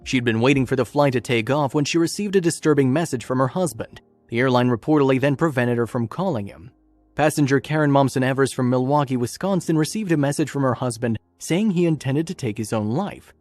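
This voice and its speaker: A man's voice: mid-range voice, brisk, a little mellow, no room sound. A man reading scripted, documentary-style story narration as a narrator. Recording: music bed under narration. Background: background music.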